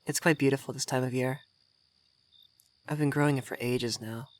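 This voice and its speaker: A man's voice: medium pitch, fairly even intonation, moderate pace, lightly acted. A man playing a young effeminate vampire mage in roleplay ASMR, vain and refined, coy and easily flustered in an intimate delivery. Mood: proud, refined.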